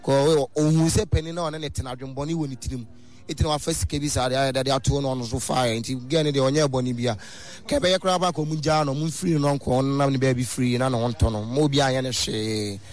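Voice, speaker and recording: A man's voice: mid-range voice, fast, a little mellow, faint music behind. A man with a Ghanaian accent giving an earnest comment in a street interview. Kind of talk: vox pop comment.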